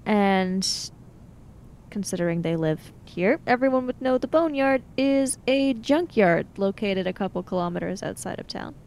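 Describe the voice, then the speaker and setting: A woman's voice: medium pitch, lively intonation, moderate pace, gravelly, a little mellow, a little hiss or hum. A woman playing a tabletop role-playing game, talking casually in a matter-of-fact, argumentative way. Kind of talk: casual in-character game discussion.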